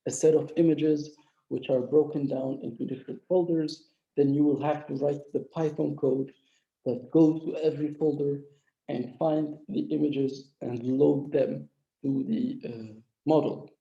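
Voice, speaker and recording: Gravelly lecturer with a mild flu. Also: male voice, fairly high pitch, fairly even intonation, measured pace. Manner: explanatory, frequent "uh" fillers. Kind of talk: live online coding lecture. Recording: dull lo-fi echoey room.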